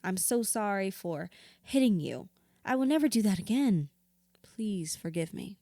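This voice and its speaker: A woman's voice: mid-range voice, lively intonation, measured pace, warm tone, no echo, clean studio sound. A woman acting in an audio drama as a grieving widow in therapy, wounded and candid, reflective with a wry edge. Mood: pained, hesitant.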